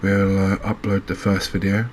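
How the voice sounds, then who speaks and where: A man giving gentle, unhurried ASMR commentary as he plays a game, pausing and hesitating as he goes. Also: sits low, completely flat delivery, a little hiss or hum. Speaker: host of a gaming channel. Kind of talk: ASMR let's-play commentary.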